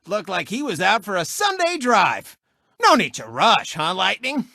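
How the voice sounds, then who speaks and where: A man's voice: high voice, huge pitch swings, measured pace, creaky, pushed and bright, dry recording, clean studio sound, full character voice. A man voicing a cocky, gloating cartoon race car who hosts a sports show in a video game, brash and theatrical. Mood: gloating, taunting. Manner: brash, smug, theatrical showman. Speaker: racer and TV racing commentator. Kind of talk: animated video game sports commentary and taunts.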